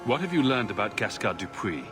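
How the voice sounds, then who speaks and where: An actor in a video game playing a cool-headed adventurer, direct, composed and to the point. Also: male voice, on the low side, very animated, a little fast, music in the background. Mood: calm, probing.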